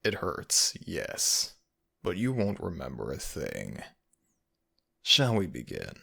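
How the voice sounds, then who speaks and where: A man playing a sadistic, mocking male fox spirit in an ASMR audio roleplay, speaking with slow, theatrical menace. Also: low-pitched, wildly swooping pitch, gravelly, bright, forceful tone, slightly rough, exaggerated voice. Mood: menacing.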